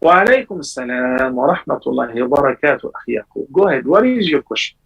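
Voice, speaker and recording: A man hosting a live call-in show, answering callers' religious questions in measured explanations. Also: vocal fry, breathy edge. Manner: measured explanations with sudden lively rises in pitch. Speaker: scholar answering viewers' calls.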